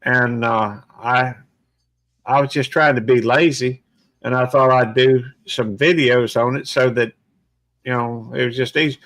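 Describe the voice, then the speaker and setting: A man in his sixties talks in an easygoing group chat, pausing and restarting often. His voice sits in an ordinary middle range and sounds close and dry, with no room around it. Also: medium pitch, fairly even intonation, a bit bright, no room sound. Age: 60s. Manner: halting, many fillers and restarts. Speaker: YouTube streamer and police supervisor. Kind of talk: casual group live-stream chat.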